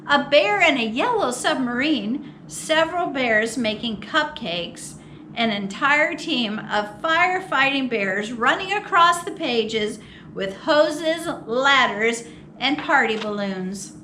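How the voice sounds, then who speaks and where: A woman reading a children's picture book aloud as a storytime host, expressive and theatrical with drawn-out words. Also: sits high, a little hiss or hum. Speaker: storytime host at a public library.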